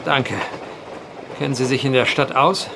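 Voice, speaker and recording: An actor playing a polite, wry gentleman traveler with old-fashioned, wordy phrasing in a German audio drama. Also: medium pitch, fairly even intonation, measured pace, vocal fry, noisy background.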